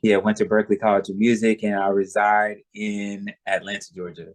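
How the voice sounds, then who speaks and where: A man's voice: fairly low pitch, flat delivery, brisk. A man from Boston, an interview guest, speaking plainly and matter-of-factly. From Boston, USA.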